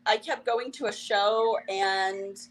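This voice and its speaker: A woman's voice: mid-range voice, steady tone, takes it slowly, low-quality recording. Chatty Ukrainian-born woman with a Russian accent. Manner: wry, chatty, laughs often. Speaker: comedian guest. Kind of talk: casual interview chat.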